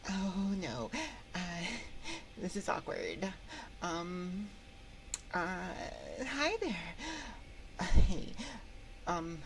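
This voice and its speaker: A woman's voice: mid-range voice, creaky, background noise, full character voice. A woman voicing a timid, awkward female shade in an ASMR roleplay, stammering and rambling nervously into a close microphone. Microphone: close binaural microphone.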